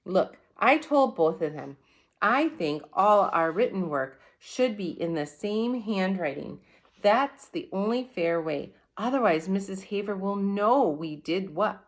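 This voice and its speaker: A woman's voice: mid-range voice, expressive, a little slow, lightly acted. A woman voicing a bossy, exacting schoolgirl from a storybook in an emphatic, insistent manner. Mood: self-important.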